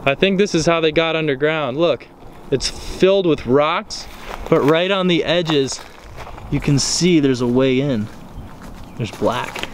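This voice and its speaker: A man's voice: fairly high pitch, measured pace. An American man hosting an exploring vlog, talking casually and curiously to viewers as he walks. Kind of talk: casual walking commentary to viewers. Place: outdoors in an open overgrown field. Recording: noisy outdoor camera audio.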